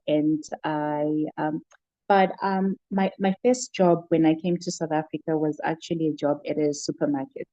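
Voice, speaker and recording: A middle-aged woman with a Zimbabwean accent answers interview questions reflectively, often pausing and repeating words as she goes. Her voice is fairly low and very mellow. The recording sounds dull and muffled. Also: dry recording. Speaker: interview guest, child protection worker.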